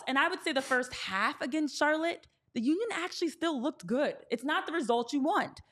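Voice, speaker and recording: A woman's voice: on the high side, fairly bright tone. A woman hosting a sports show, talking casually and conversationally.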